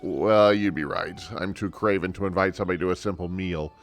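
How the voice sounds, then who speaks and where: Man acting a proud, gruff guard lancer. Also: low-pitched, steady tone, fairly quick, faint music behind, natural voice. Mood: blustering, earnest. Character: proud, gruff guard lancer, secretly timid, fantasy game.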